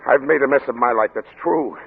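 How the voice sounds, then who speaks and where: A man's voice: mid-range voice, fairly quick, creaky, breathy edge, phone-quality sound. An actor playing a troubled, moralizing male doctor in an old-time radio drama, hesitant and repetitive in his earnestness. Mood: bitter. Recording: crackly, band-limited vintage radio broadcast.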